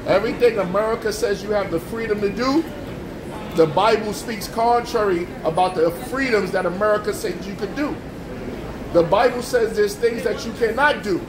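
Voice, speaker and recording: High-voiced street preacher, man. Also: echoey room, background noise. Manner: forceful, repetitive preaching with rhetorical questions. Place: outdoors on a street with passing traffic.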